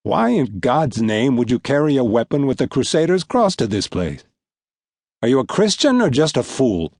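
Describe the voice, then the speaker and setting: A man's voice: medium pitch, sing-song, moderate pace, darkish tone, no room sound, studio quality. Man acting stern, mocking veteran guerrilla commander. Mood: scornful challenge. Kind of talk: acted audiobook dialogue.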